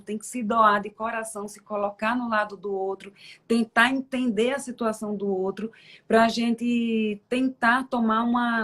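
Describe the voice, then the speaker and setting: A Brazilian woman judge interviewing a guest, speaking in an encouraging, reflective conversational way. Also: medium pitch. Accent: Brazilian Portuguese.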